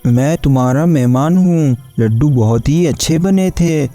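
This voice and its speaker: A man's voice: a little high, measured pace, dark tone, dry recording, lightly acted. A man voicing merchants and a king in a cartoon folk story. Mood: gracious. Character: men of a folk tale: merchants and a disguised king. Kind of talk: Hindi cartoon story acting.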